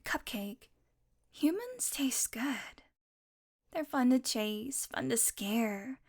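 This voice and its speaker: Woman acting as a high-voiced, sardonic vampire woman. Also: creaky, darkish tone, full character voice. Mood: menacing, relishing. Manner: dry, teasing sarcasm. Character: sardonic, predatory female vampire, centuries old, grudgingly fond. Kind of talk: scripted audio roleplay, addressed to the listener.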